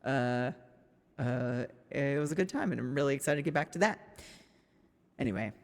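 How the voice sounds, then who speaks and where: A woman with a slightly raspy voice, streaming a story game, reading its text aloud and chatting casually with some swearing. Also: very deep voice, expressive, normal speed. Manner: chatty, swearing, laughing, trailing off. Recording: music playing in the background.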